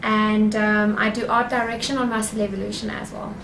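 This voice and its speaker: A woman's voice: medium pitch, flat delivery, brisk, vocal fry. Hesitant woman introducing herself. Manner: hesitant, with fillers. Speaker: magazine editor and co-founder. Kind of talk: brief casual self-introduction. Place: office. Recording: echoey room, light noise.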